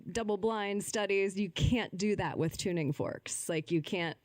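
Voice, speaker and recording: A woman's voice: mid-range voice, unhurried, darkish tone, no echo. A woman guest on a podcast, earnestly explaining her views in a fluent conversational way with casual filler words. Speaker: podcast guest, holistic healer. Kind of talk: conversational interview answers.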